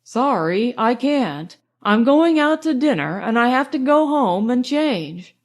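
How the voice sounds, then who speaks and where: A woman voicing a polite, opinionated older gentleman in audiobook dialogue, with a genteel, emphatic delivery. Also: fairly high pitch, big pitch swings, takes it slowly, heavily acted. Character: polite, opinionated older gray-haired gentleman, admiring and oblivious.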